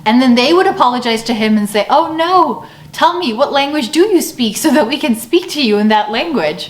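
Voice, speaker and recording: A woman's voice: high-pitched, lively intonation, fast talker. A woman with an American accent chatting expressively in a casual explanatory vlog. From United States. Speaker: video creator. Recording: roomy echo, faint background noise.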